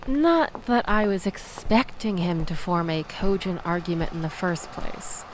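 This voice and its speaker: Woman acting a slow-talking, chatty young woman. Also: lowish voice, expressive, slight background noise, poor audio. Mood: exasperated, venting. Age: young adult. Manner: chatty, sardonic, conversational with nervous laughs. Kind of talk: intimate audio roleplay acting, addressed to listener.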